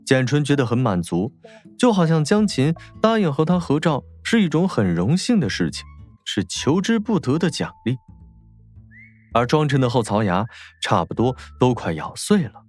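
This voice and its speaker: A man's voice: mid-range voice, sing-song, normal speed, a little mellow. A man narrating a novel as a scripted, dramatic audiobook. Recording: faint music behind.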